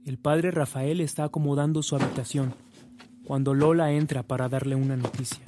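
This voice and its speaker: A man's voice: medium pitch, fairly even intonation, brisk, noisy background. A man with a Mexican accent reading scripted narration for a radio drama.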